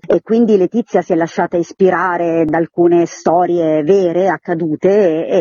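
A woman's voice: on the low side, mellow, no echo, lo-fi sound. A woman, explaining her work emphatically and enthusiastically in a radio interview. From Abruzzo, Italy. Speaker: interviewed theatre co-director.

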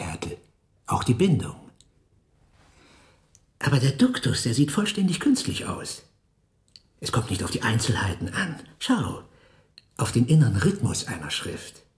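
A smug, cynical master forger in a radio play, lecturing pedantically. He savors words at an unhurried pace with very animated intonation. The room rings around him. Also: medium pitch. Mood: smug instruction. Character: cynical male master forger, powerful camp prisoner boss. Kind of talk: theatrical radio drama acting. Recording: roomy, reverberant studio sound.

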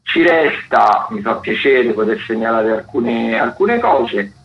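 Through a dull, slightly noisy recording, a man talks through arts listings as a radio critic. His voice sits at a middle pitch, and his delivery is conversational and halting, circling back to repeat or fix his words. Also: animated. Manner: halting, frequent repetitions and self-corrections. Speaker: theatre critic giving events listings. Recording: dull lo-fi sound, clear background noise.

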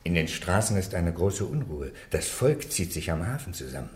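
An actor playing a worried aide reporting trouble to a bishop in a radio play. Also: male voice, sits low, sing-song, moderate pace. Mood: urgent. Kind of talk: German radio play acting.

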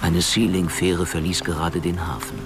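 Very deep-voiced male narrator over music. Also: steady tone, normal speed. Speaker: narrator of a radio crime play. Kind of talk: scripted literary narration.

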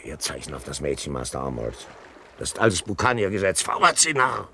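Wily scheming pirate, voice swoops up and down, radio drama, bit of noise behind. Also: male voice, very deep voice, big pitch swings, fairly quick, gravelly, sharp tone, heavily acted. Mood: urgent, goading. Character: sly, scheming male pirate, egging others on. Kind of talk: German radio play acting. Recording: faint background noise.

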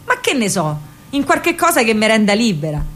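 A woman's voice: on the high side, big pitch swings, moderate pace, a bit bright. A woman playing a spirited, impatient young working-class Roman partisan in a radio monologue, colloquial and direct, with a Roman accent. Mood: pleading.

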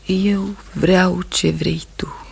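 A grieving but steadfast wife in a radio play. Her voice is husky and breathy with a creaky edge, solemn and intense. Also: fairly low pitch, fairly even intonation, a little mellow, heavily acted. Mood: tender, pained. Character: sorrowful, steadfast wife of a condemned man. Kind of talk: Romanian radio theatre acting.

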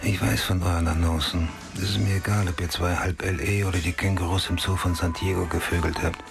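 A hard-boiled male homicide detective in a noir radio play. His voice is very low and rough with gravel, and he speaks in terse, brooding mutters. Music plays prominently behind him. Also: level tone, a little fast. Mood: blunt, insistent. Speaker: actor in a radio crime drama.

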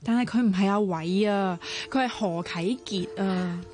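A woman's voice: mid-range voice, a little slow, music in the background, lightly acted. An actress with a Hong Kong accent playing an earnest, worried young woman in a radio drama, with a pleading way of talking. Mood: pleading, wistful. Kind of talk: Cantonese radio drama acting.

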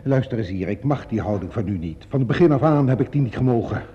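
A man's voice: mid-range voice, lively intonation, fast talker, dark tone, lightly acted. A man acting a hot-tempered, haughty murder suspect in a radio play, blustering and emphatic with outrage. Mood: outraged. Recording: muffled, echoing old radio play recording.

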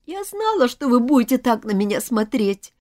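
A woman's voice: on the high side, huge pitch swings, unhurried, darkish tone, voice pushed up, full character voice. A woman voicing a miserable, jealous schoolgirl who hates herself, her voice trembling near tears. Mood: tearful. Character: miserable self-hating schoolgirl, jealous and tearful.

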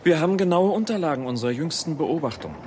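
An actor playing a composed royal astronomer in a 1960s German radio play, speaking in a formal, matter-of-fact way. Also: male voice, fairly high pitch, lively intonation, quick pace, natural voice. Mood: composed, insistent. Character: composed, precise royal astronomer, a male scientist. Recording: clear background vehicle noise. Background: vehicle interior noise on a drive.

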